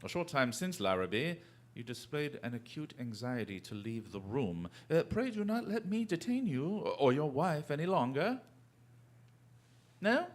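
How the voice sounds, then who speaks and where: A man acts out a shrewd gentleman detective for a radio play. His speech is formal, precise and coolly polite, swinging through a wide, animated pitch range with an air of total command. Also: moderate pace. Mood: probing, dry. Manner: formal, precise, theatrical diction. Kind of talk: radio drama acting.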